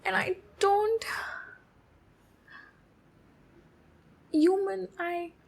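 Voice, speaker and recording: A woman's voice: very high voice, fairly even intonation, creaky, full character voice. A woman voicing a shy, anxious, clingy demon captor in a roleplay ASMR, speaking in a hesitant, pleading and soothing way. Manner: hesitant, halting, pleading, soothing with pet names. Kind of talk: roleplay ASMR, intimate acted monologue.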